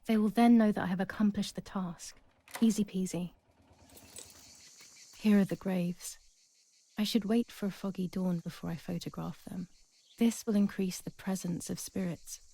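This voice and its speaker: Mellow, pensive young woman. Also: medium pitch, fairly even intonation, normal speed, vocal fry, long pauses. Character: young Italian woman in wartime, pensive and uneasy. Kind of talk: first-person game monologue.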